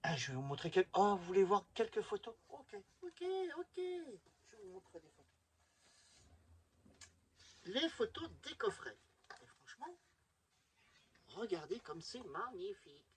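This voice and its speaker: A middle-aged man talks in a casual, enthusiastic way, as if showing things to friends. His intonation is lively and moves up and down a lot. The amateur recording carries some faint background noise. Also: high-pitched, measured pace, pauses often. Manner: enthusiastic, chatty, addresses viewers as friends. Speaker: video presenter showing a collection. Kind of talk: casual hobby vlog presentation.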